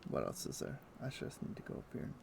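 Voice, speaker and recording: A man's voice: fast talker, a little hiss or hum. A man streaming a video game, chatting casually and thinking aloud with lots of fillers. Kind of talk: casual gameplay chat. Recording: faint music in the background. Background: soft game music.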